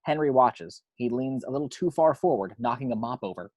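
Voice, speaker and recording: A man's voice: mid-range voice, expressive, a little fast, a little mellow, dry recording. A man narrating screenplay action lines at an amateur table read in a matter-of-fact storytelling way.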